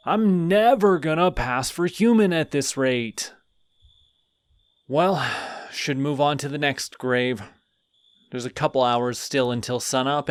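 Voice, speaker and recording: Friendly, sheepish male skeleton, voiced by a man, mid-pitched and slow-spoken, audio roleplay. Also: clean tone, no echo, natural voice. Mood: grumbling. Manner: rambling, sheepish, talks to himself. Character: friendly, chatty male skeleton digging up graves.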